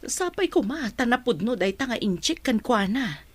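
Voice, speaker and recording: A husky, concerned village mother. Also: fairly high pitch, very animated, moderate pace, no echo. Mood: concerned, inquiring. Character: concerned village mother hearing news of her child. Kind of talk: Ilocano radio drama acting.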